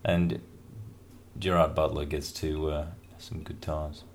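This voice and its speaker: A man's voice: very deep voice, little pitch movement, takes it slowly, slight background noise. A man hosting a radio show, chatting and joking casually between tracks with lots of fillers. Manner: chatty, jokey and rambling, with many fillers.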